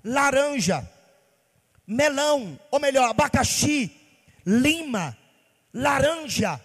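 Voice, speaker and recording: A pastor from Bahia preaching with passion, asking rhetorical questions in a sing-song cadence. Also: male voice, very high-pitched, sing-song, slow, fairly bright tone, slightly rough, big-room sound. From Bahia, Brazil. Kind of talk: sermon.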